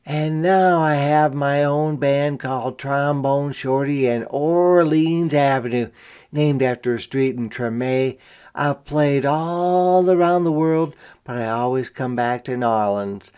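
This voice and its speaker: A man reading a picture book aloud to young children as a teacher, with expressive, deliberate delivery and simple explanations. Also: mid-range voice, smooth. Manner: dramatic, deliberate delivery with emphasized character lines.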